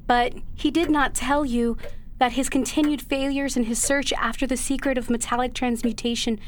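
A woman acts as a dutiful young daughter in a period radio play, burdened by a long deceit. She speaks in formal, old-fashioned phrases, earnest and halting with emotion. A faint noise sits behind her. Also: high-pitched, steady tone, natural voice. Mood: anguished. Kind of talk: period radio drama acting.

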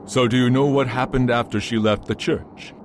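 A man playing a curious friend who gently teases the priest and asks questions, in an amateur radio drama. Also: mid-range voice, fairly even intonation, normal speed, slight background noise, close to an everyday voice. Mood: teasing. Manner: gently teasing, inquisitive.

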